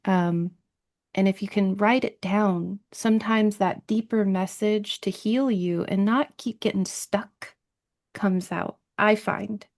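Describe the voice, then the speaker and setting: A woman hosting a podcast talks in a loose, chatty way. She builds her points step by step and keeps asking "right?" as she goes. Her voice sits at a middle pitch. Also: fairly even intonation, slow pace, darkish tone. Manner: chatty and explanatory, with many "like" and "right?". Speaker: podcast host. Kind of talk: conversational interview.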